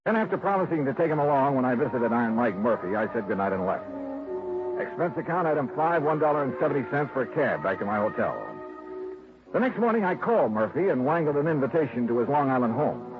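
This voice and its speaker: An actor playing a hardboiled, wry insurance investigator in the style of 1950s radio detective drama. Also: male voice, mid-range voice, big pitch swings, fast, over music, lightly acted. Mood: brisk, matter-of-fact. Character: hardboiled, wry freelance insurance investigator narrating his case. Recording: noisy, phone-like old radio recording.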